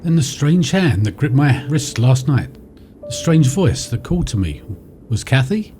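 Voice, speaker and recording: A man's voice: mid-range voice, big pitch swings, a little slow, dark tone, soft background music. A man playing an astonished visitor piecing together a ghostly tale in a literary audio drama.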